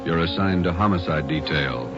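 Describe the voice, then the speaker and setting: A deep-voiced male announcer in 1940s radio style, formal and solemn. He reads scripted lines over loud music on a dull, lo-fi recording. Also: moderate pace.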